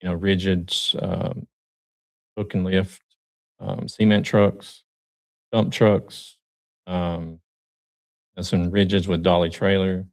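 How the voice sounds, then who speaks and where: A man from the United States, a guest truck driver on a live stream, talking casually and hesitantly with many pauses. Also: low voice, creaky. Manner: relaxed, hesitant with many ums.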